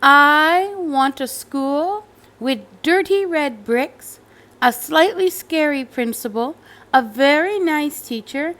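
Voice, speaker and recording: A woman voicing a stubborn, eager little schoolgirl in a children's storybook, with drawn-out, insistent delivery. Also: high-pitched, lively intonation, fairly bright tone, voice pushed up, heavily acted. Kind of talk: children's storybook read-aloud.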